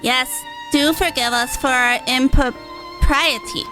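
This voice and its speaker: A woman voicing an eager, excitable little mousy child from a video game, with childlike squeals. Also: high voice, takes it slowly, music in the background. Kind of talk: video game story dialogue read aloud.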